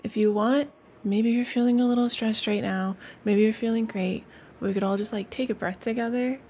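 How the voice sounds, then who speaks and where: Gentle, casual woman storyteller. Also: a little high, fairly even intonation, moderate pace. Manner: gentle, casual and soft, with fillers. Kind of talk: cozy read-aloud narration.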